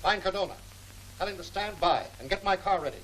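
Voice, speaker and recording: An actor playing an authoritative police chief with clipped commands in a 1940s movie serial. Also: male voice, sits high, fast, noisy background, close to an everyday voice. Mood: brisk, urgent. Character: authoritative male police chief barking brisk orders. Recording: old 1940s film soundtrack.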